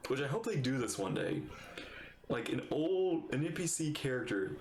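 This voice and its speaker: A man giving casual, excitable, rambling reaction commentary while playing a game, talking back to the characters. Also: mid-range voice, moderate pace, reverberant, a little hiss or hum.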